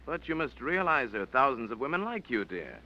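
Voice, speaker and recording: Guy playing a calm lawyer talking sense into a client, lively voice, muffled old radio. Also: mid-range voice, a little fast, natural voice. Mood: firm. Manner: patient, reasoning, gently firm. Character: measured male family lawyer. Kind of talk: 1940s radio acting. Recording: dull old radio recording.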